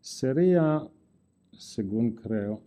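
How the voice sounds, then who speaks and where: Older man, Italian-tinged accent, very dark tone, slow unhurried delivery, wry lecturer. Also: mid-range voice, animated. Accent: Italian-tinged Spanish. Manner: deliberate, wry, restarts words in a non-native Spanish. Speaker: guest lecturer. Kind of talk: formal lecture with dry jokes.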